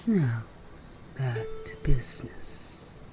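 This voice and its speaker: A man's voice: mid-range voice, animated, extremely slow, music in the background. A man playing a flirtatious, roguish werewolf bounty hunter in a Western audio roleplay, with a teasing, theatrical Southern American drawl. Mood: menacing, teasing.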